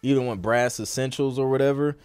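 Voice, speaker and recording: A man's voice: mid-range voice, fairly even intonation, fairly quick, a little mellow, clear, resonant tone. A man hosting a casual livestream Q&A about music production, talking in an informal, slangy, conversational way. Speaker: podcast host and music producer.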